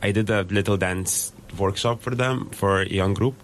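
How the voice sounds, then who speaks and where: A young man from Lithuania, a guest in a casual radio interview, talking in loose animated phrases with many fillers. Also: low voice, moderate pace, slight background noise. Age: young adult.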